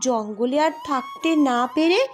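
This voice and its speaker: A woman voicing a protective, quick-witted eldest princess in a fairy-tale cartoon, with a firm, dramatic, scolding manner. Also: sits high, faint music behind. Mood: scolding, sly.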